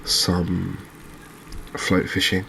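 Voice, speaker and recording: A man giving gentle, unhurried ASMR commentary as he plays a game, pausing and hesitating as he goes. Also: sits low, completely flat delivery, creaky, a little hiss or hum. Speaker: host of a gaming channel. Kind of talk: ASMR let's-play commentary.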